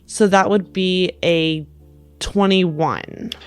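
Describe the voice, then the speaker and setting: A woman's voice: fairly low pitch, huge pitch swings, extremely slow, creaky, smooth, no room sound, soft background music. A woman chatting casually as a player in a tabletop role-playing game.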